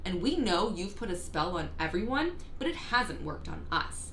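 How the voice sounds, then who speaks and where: A woman's voice: fairly low pitch, sing-song, normal speed, a bit bright. A woman voicing a defiant young storybook girl who is scornful, accusing and insistent. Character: defiant, loud young girl confronting a witch. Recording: roomy echo with clear background noise.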